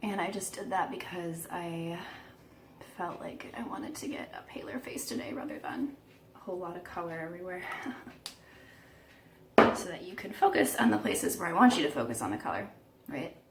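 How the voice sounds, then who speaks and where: A woman giving a casual makeup tutorial, chatty and self-deprecating, a bit croaky today, filming herself at home. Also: fairly low pitch, big pitch swings, moderate pace, background noise. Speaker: beauty vlogger.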